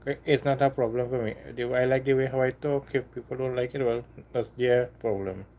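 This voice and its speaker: A man with a Trinidadian accent talks casually to his live stream viewers, his voice mellow and mid-pitched. He stumbles, repeats words and restarts his sentences, and he sounds apologetic one moment and firm the next. Faint background noise sits under his voice. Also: steady tone. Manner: halting, repeats himself, apologetic then defiant. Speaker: livestream host.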